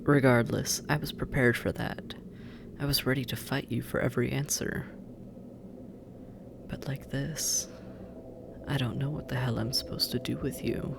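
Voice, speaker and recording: An actor playing a sardonic, possessive demon captor in an intimate audio roleplay, musing and teasing, often dropping into breathy murmurs. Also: mid-range voice, noisy background, close to an everyday voice. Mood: exasperated, threatening. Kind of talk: intimate scripted audio roleplay addressed to listener.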